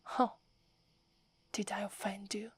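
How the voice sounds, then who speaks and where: Proud tsundere werewolf girl, whispered by an Italian woman: breathy, slow, close-mic ASMR. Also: medium pitch, little pitch movement. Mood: haughty, wary. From Italy. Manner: haughty but stammers when flustered.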